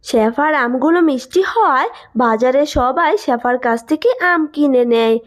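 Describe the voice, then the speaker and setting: A woman reading scripted storybook narration as the narrator of an animated village tale. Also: sits high, moderate pace.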